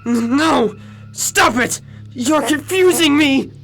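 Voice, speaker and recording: A woman voicing a gentle, troubled young male alien warrior with a hesitant, emotional manner in a superhero audio drama. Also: high voice, slow, vocal fry, faint music behind, heavily acted. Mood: anguished confusion.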